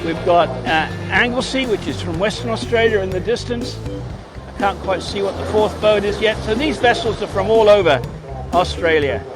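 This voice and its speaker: A man from Wales with a Welsh accent, chatting excitedly to his livestream viewers while commenting on a race at a surf beach. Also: high-pitched, moderate pace, music in the background. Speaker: livestream host. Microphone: phone mic held in hand.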